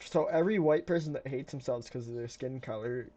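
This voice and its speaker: A man co-hosting a casual podcast chat, crude and teasing, with deadpan jokes. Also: mid-range voice, brisk. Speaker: podcast co-host. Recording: dull lo-fi audio.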